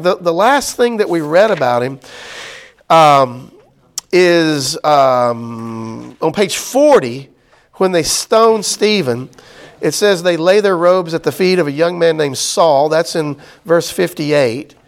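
Middle-aged male Bible teacher with a somewhat high voice and an easygoing, rambling lecture delivery. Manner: conversational, many fillers and restarts. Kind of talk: casual Bible study lecture.

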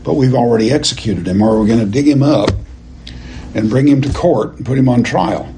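A man teaching a Bible class verse by verse, speaking in a deliberate, halting and emphatic way and putting questions to his listeners. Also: mid-range voice, mellow, a little hiss or hum, rough recording. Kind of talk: expository lecture to a class, verse by verse.

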